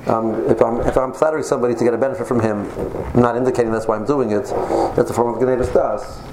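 A man who has lost his voice gives an informal Torah class to an audience over a speaker system, talking low-key and halting, with many restarts. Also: steady tone. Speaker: rabbi giving a Torah lecture. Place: hall with an audience. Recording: echoey hall, loud background noise.

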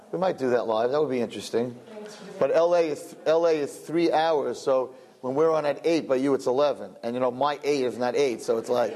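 Brooklyn-accented male host, chatty and rambling, self-joking, mid-pitched voice. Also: a little mellow. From Brooklyn, USA. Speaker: event host. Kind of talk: live-streamed announcements.

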